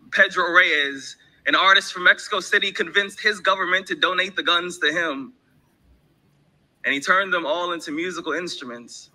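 High, bright-voiced man performing slam poetry. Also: low-quality recording. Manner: rhythmic, emphatic slam delivery with repeated refrains. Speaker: slam poet. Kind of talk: spoken word performance. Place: dark stage. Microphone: stand mic.

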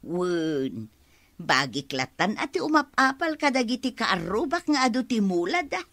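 A woman playing a chatty, wheedling older village aunt in an Ilocano comedy radio drama, coaxing with drawn-out exclamations. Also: medium pitch, big pitch swings, fairly bright tone, no echo, exaggerated voice.

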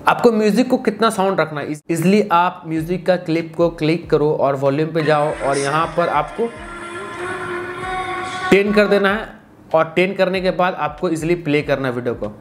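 Male tutorial host, twenties, slightly high pitch, casual teaching style, some background noise. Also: normal speed. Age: 20s. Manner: instructional, repeats stock phrases. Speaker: tutorial presenter. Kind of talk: casual phone-app tutorial. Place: small indoor room.

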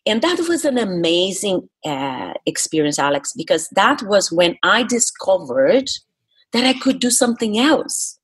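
A woman's voice: mid-range voice, very animated, unhurried, slightly rough. A middle-aged woman with a Brazilian accent and Portuguese as her first language, telling her story conversationally in an interview, explaining with emphasis. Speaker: podcast guest, speaker and coach.

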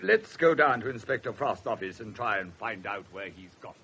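An actor with an English accent playing a pompous, courteous, middle-aged police superintendent in a radio drama, formal and somewhat awkward. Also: male voice, on the low side, animated, moderate pace, pushed and bright, a little hiss or hum. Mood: awkward, confiding. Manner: formal, hesitant when uneasy. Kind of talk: 1970s radio drama acting. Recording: slightly muffled 1970s radio broadcast.

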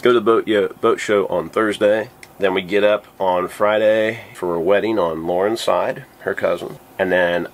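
A man's voice: deep voice, normal speed. A man in his forties on his sailboat, casually talking through the week's plans for a vlog, recorded close on a handheld camera. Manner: relaxed, explaining plans. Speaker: sailing vlogger. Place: sailboat cabin and cockpit.

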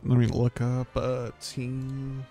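A man's voice: medium pitch, completely flat delivery, slow pace, vocal fry, dark tone, faint music behind. A man chatting casually and informally as he streams a game, muttering asides. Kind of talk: casual livestream chat.

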